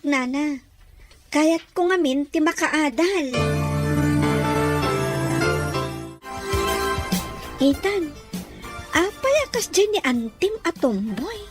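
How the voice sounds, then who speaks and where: A woman's voice: high-pitched, expressive, slow, long pauses, over music. A woman playing a modest, affectionate young woman in an audio drama, respectful to her elders. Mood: earnest. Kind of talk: acted Ilocano audio drama.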